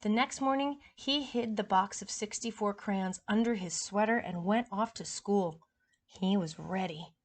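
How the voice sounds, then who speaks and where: A woman with a mid-pitched voice reads a picture book aloud for young children. She tells it expressively, like a teacher, and talks to her listeners in a chatty, informal way. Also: unhurried.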